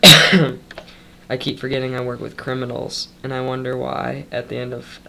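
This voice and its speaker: A young man chats through a rambling vlog update with a stuffed-up nose from a cold. He sounds worn out after a long shift, and his mid-range voice slips into gravelly fry. He talks loosely, with plenty of ums and side comments. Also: mid-range voice, monotone, a little slow, sharp tone, a little hiss or hum. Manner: loose and chatty, full of ums and trailing asides. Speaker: vlogger.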